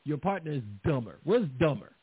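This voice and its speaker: A man's voice: on the high side, sing-song, brisk, a crowd in the background. A man trading brash, profane, jokey banter on a talk show. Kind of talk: teasing banter. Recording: dry, phone-like line.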